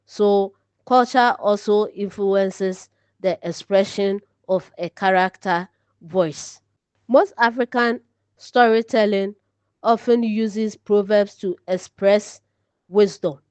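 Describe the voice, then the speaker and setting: Slow, clear-voiced Ghanaian woman teaching. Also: medium pitch, steady tone, a little mellow, no room sound. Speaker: teacher presenting an online lesson. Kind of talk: instructional lecture. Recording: slightly dull, lo-fi audio.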